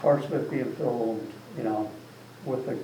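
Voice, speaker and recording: A man's voice: medium pitch, unhurried, dark tone. A man speaking casually as an author at a meeting, rambling with lots of "you know". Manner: rambling, filler-heavy, with frequent "you know". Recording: distant mic in an echoey room with some noise.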